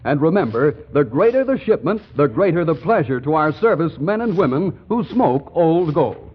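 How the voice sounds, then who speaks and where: A man working as a radio announcer, delivering a commercial pitch in 1940s broadcast style. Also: sing-song, normal speed, breathy edge, dull audio.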